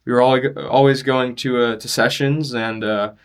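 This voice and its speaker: A young man in a conversational interview, explaining his loudspeaker design work earnestly and in detail. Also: medium pitch, steady tone, normal speed, clean tone. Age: young adult. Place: demo room at an audio show.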